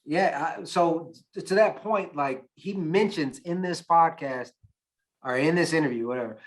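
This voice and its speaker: Animated, cussing male podcast co-host. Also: fairly high pitch. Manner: animated, swearing, self-mocking. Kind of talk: casual podcast chat.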